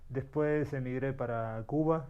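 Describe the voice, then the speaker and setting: A man's voice: mid-range voice, measured pace, clean tone, narrow, tinny audio. Reflective middle-aged man. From Uruguay. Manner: thoughtful, searching for words, frequent restarts. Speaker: guest, artist. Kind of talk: reflective interview storytelling.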